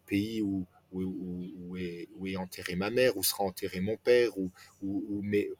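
Male guest, forties, from France: fairly low voice, casual explanatory storytelling, punctuated by self-posed questions. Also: a little mellow. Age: 40s. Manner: explanatory, poses questions to himself and answers them. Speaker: interview guest, entrepreneur. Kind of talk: casual personal storytelling in an interview.

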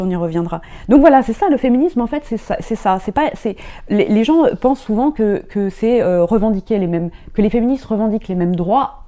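A woman's voice: mid-range voice, mellow. A middle-aged woman who grew up in Germany, talking as an interview guest in a hesitant way, with many restarts and fillers. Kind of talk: casual interview talk.

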